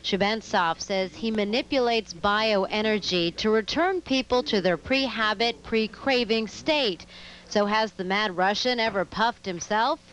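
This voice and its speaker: A woman reporter narrating a TV news segment in a measured, clear delivery. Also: mid-range voice, fairly bright tone, slight background noise, rough recording.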